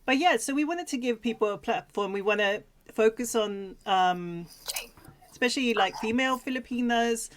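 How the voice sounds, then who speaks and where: A woman's voice: a little high, moderate pace. A woman from Sweden co-hosting a livestream in a casual, chatty, giggly manner. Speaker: co-host of a livestream talk show.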